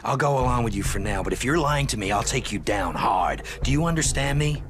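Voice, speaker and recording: A man's voice: medium pitch, animated, a little fast, lightly acted. An actor playing a wary, defiant male agent in a video game, speaking in a blunt, challenging way. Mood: threatening. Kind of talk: video game cutscene acting.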